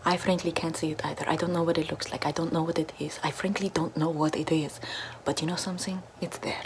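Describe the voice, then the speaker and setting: A young woman doing a parody ASMR role-play, whispering in a deadpan, mock-mystic way into a close camera in a small bedroom. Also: sits low, fast, noisy background. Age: young adult. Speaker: ASMR creator. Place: small bedroom with floral backdrop, indoors.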